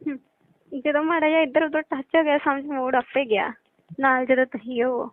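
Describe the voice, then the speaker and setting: A woman's voice: sits high, flat delivery, moderate pace, a little hiss or hum. A woman with a Punjabi accent chatting casually and intimately on a phone call. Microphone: telephone. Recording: narrowband tinny phone-call audio.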